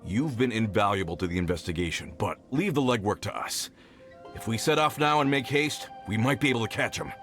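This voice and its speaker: An actor voicing a worried but practical mine boss in a video game, direct and businesslike. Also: male voice, on the low side, expressive, brisk, a bit bright, faint music behind.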